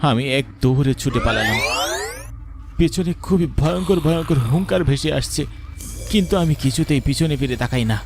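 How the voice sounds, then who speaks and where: Guy doing scared village kid narrating a horror cartoon, earnest and dramatic, music loud behind him. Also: mid-range voice, lively intonation, moderate pace, lightly acted. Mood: terrified, dramatic. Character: frightened young village boy recounting a ghost encounter.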